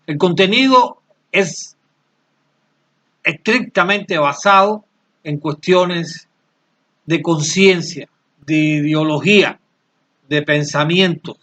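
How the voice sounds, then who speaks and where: A man in his late sixties from Cuba, answering interview questions earnestly and haltingly, often repeating words as he searches for them. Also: a little high, a bit bright. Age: 60s. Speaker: channel creator, interviewee.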